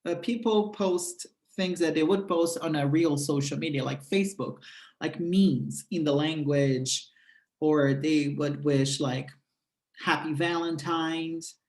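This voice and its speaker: A speaker whose first language is Portuguese walks through an app step by step, explaining in a halting way with frequent fillers. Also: medium pitch, a little mellow. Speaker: presenter demonstrating a language-exchange app. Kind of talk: screen walkthrough tutorial. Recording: roomy echo.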